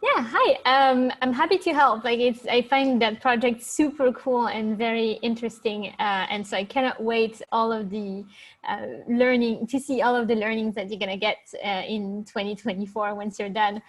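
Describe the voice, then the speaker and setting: A woman's voice: on the high side, normal speed, low-quality recording. A woman with a French accent, chatting casually in an interview, hesitant and full of fillers, laughing easily. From France. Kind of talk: casual video-call interview.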